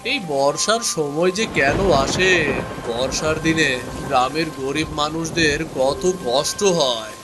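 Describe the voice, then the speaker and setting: A man's voice: fairly high pitch, measured pace, over music, lightly acted. A man voicing a poor, humble village father who sounds anxious and plaintive in a cartoon story. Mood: worried. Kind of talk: Bengali cartoon story voice acting.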